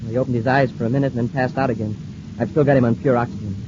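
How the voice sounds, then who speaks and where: Quick, eager young space cadet played by an actor. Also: male voice, mid-range voice, noisy background, close to an everyday voice. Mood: curious, concerned. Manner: eager, deferential, excitable. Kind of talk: old-time radio serial acting. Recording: muffled old radio recording.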